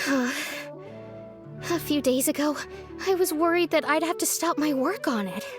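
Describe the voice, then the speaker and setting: An earnest young woman scholar from a video game. Her voice is high and her manner polite and sincere, with music clearly playing behind her. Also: expressive, takes it slowly. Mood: relieved. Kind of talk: video game character dialogue.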